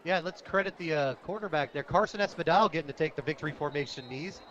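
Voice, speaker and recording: Higher-voiced man calling football on radio. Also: quick pace, a bit bright. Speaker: radio play-by-play announcer. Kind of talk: live high school football commentary. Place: outdoor high school football stadium. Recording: dull radio broadcast, stadium crowd behind. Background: stadium crowd noise.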